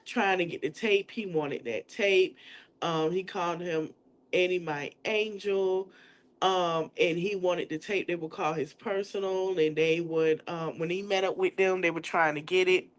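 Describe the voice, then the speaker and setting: A woman podcast host with a stuffy nose, recapping a trial in casual chat and calling her viewers y'all. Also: lowish voice. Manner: chatty, drawn-out, addresses viewers as y'all.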